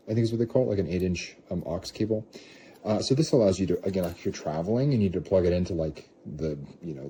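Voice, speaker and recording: Deep, very mellow man rambling through a review. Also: a little hiss or hum. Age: adult parent of a young child. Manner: rambling, self-questioning, hedging. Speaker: product reviewer. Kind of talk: casual off-the-cuff product review.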